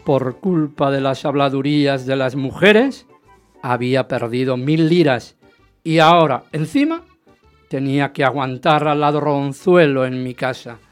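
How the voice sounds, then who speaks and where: A man's voice: medium pitch, unhurried, soft background music, natural voice. An actor from a radio play, playing a jealous, grudging husband who tells his own story. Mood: wry, grudging. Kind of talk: radio drama acting with first-person narration.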